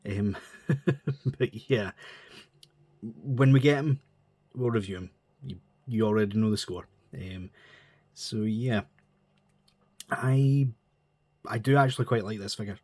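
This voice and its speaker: A man's voice: lowish voice, slow pace, long pauses, darkish tone, no room sound. A man with a stuffy nose from a cold, chatting in a rambling, informal way as he reviews a model kit. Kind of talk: casual chat.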